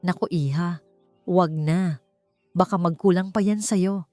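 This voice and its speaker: A woman voicing a quiet, kindly elderly male janitor in an audiobook story, with music behind. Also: lowish voice, a little slow, close to an everyday voice. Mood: gentle, reluctant.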